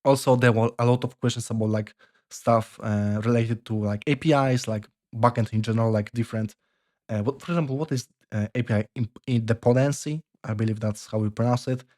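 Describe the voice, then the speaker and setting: A young man with a Polish accent giving casual career advice, halting and full of fillers and restarts. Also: medium pitch, steady tone, mellow. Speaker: software developer vlogger.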